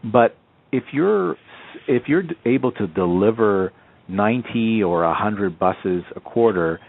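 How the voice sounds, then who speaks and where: A man's voice: fairly low pitch, animated, unhurried, vocal fry. A man asking questions as an analyst on a conference call, speaking hesitantly and circling back to rephrase, over a muffled phone line.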